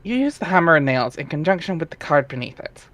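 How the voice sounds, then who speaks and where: A man with a slightly high voice narrates a story aloud on a headset mic. His delivery is informal, slipping between reading and casual chat with friends. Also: very animated, fast, a bit bright. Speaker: narrator of a webcomic dramatic reading.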